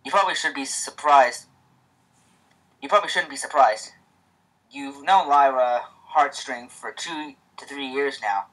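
Casual man with a stutter and a mid-pitched voice, chatting, then reading a story aloud. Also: a little slow, fairly bright tone, rough recording. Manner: stutters, with restarts.

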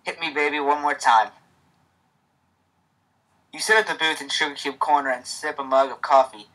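A man's voice: fairly high pitch, fairly even intonation, normal speed, sharp tone, low-quality recording. A man with a stutter hosting a casual reading of a fan story, with many restarts.

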